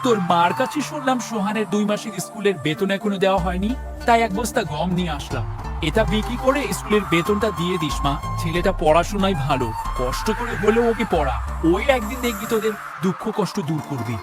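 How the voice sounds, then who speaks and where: An actor playing a kindly elderly village father in an animated story, gentle, caring and insistent. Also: medium pitch, fast, close to an everyday voice. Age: older. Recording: loud background music.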